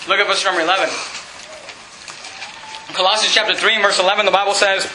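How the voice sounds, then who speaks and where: A Venezuelan-born man preaching an emphatic sermon as pastor, shouting his points and repeating verse references in a church with the congregation answering. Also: high voice, level tone, fast talker, slight background noise. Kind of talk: fiery evangelical sermon. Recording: echoey church with congregation responses.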